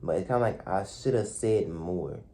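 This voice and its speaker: A young man speaks quietly in a low, mellow voice. He talks in a soft, hesitant way, full of fillers and restarts, reflecting aloud to a camera in a dark room. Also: moderate pace, slight background noise. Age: young adult. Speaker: vlogger.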